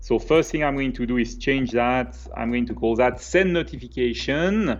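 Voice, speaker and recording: Swiss French man, slightly higher voice. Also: moderate pace, lo-fi sound. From French-speaking Switzerland. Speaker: software developer presenting a coding tutorial. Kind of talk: conversational live coding walkthrough.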